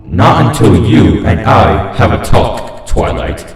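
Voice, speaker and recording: A man's voice: low voice, animated, soft background music, pitched below the performer's own voice, exaggerated voice. Echo-drenched menacing living doll, voiced by a man. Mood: taunting. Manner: slow, falsely friendly, coaxing. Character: menacing living stuffed toy, calm, possessive, creepy. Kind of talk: horror fan fiction reading. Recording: heavy cavernous echo and long reverb.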